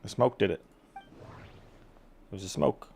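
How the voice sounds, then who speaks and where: A woman's voice: extremely low pitch, expressive, slow pace, gravelly, dark tone, a little hiss or hum. A woman streaming a game, making offhand, wry comments in a casual way.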